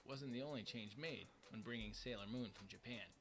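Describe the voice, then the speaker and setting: A man's voice: mid-range voice, a little fast, fairly bright tone. A man reading scripted countdown narration as the narrator of a list video. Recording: music bed under the voice. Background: background music.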